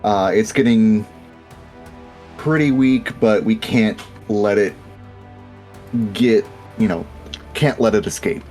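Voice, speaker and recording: A man's voice: fairly low pitch, takes it slowly, long pauses, darkish tone, soft background music. A man playing a calculating, determined holy warrior in a tabletop roleplaying game. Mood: calculating resolve. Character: calculating male spear-wielding divine warrior sizing up foe.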